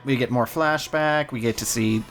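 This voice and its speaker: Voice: medium pitch, moderate pace, soft background music. A young adult chatting casually and telling anecdotes while playing and commentating on a video game. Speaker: let's play player and commentator.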